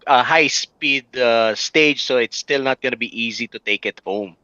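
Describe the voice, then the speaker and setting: A man with a Filipino accent co-hosting a sim rally stream, halting and self-correcting. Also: mid-range voice, pushed and bright, no echo, dull audio. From Philippines. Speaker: co-host and steward. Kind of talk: live esports commentary. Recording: clear background noise.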